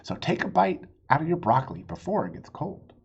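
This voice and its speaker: A man voicing a gentle, sensible mother of a young girl in a children's storybook, kindly and practical. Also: on the high side, sing-song, fairly quick, creaky, breathy edge, lo-fi sound, pitched above the performer's own voice, heavily acted.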